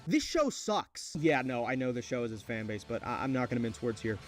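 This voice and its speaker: Fast-talking, blunt male video essayist. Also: mid-range voice, sing-song, faint music behind. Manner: opinionated, blunt, sweary. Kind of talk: scripted video essay narration.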